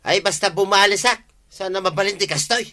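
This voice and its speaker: An actor playing a male villager in a radio comedy, teasing his friend in a brisk way. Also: high-pitched, pushed and bright. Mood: evasive. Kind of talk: radio comedy drama acting.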